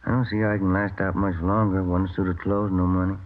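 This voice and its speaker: An actor playing an easygoing, mildly grumbling police detective partner in an old radio drama, casual and plain-spoken. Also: male voice, low-pitched, little pitch movement, fast, natural voice. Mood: weary grumbling. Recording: muffled, noisy vintage radio recording.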